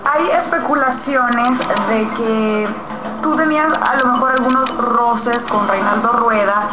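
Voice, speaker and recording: A woman's voice: on the high side, a little slow. A woman interviewing a guest for television, asking direct, probing questions. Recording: echoey, dull TV audio with loud music.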